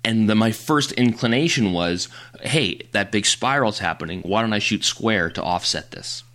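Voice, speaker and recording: A man with a very low voice hosts a casual photography podcast. He talks loosely, teasing his co-host and stumbling through restarts and fillers. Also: normal speed, fairly bright tone. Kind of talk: casual chat.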